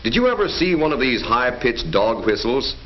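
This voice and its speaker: A man's voice: mid-range voice, very animated, normal speed, slight background noise. Emphatic 1940s newsreel-style male narrator. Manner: emphatic, declamatory announcer delivery. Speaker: film narrator. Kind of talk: scripted 1940s educational film narration. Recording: dull 1940s film soundtrack with faint music.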